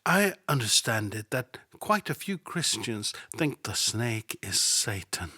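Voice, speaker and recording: Slow, husky-voiced sardonic man. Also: mid-range voice, pushed and bright, dry recording, clean studio sound. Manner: sardonic, mocking, drops to whispers for asides. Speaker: podcast host. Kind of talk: satirical Bible commentary podcast.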